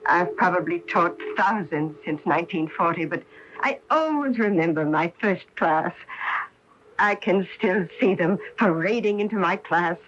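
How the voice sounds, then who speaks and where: An old lady teacher in a radio mystery, brisk n nostalgic, lowish voice, really animated. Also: a little hiss or hum. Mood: fond, assured. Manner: brisk, expressive, reminiscing. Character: elderly former schoolteacher, brisk, nostalgic and sure of memory. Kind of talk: 1980s radio mystery drama acting. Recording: dull lo-fi radio broadcast recording.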